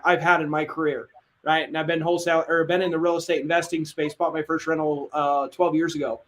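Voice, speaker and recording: A man coaching on a live webinar, energetic and casual with slang. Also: fairly high pitch. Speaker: real estate coach.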